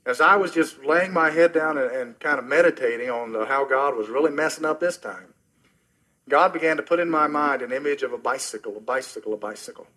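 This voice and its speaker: A middle-aged man preaching a sermon as pastor, earnest and personal, often dropping soft and low at the ends of phrases. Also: a little fast, low-quality recording. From Oklahoma, US.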